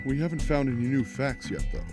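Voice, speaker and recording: A man's voice: medium pitch, expressive, fairly quick, over music, natural voice. A man voicing an exuberant, self-important police detective in a theatrical, hearty way for a game fan dub. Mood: businesslike.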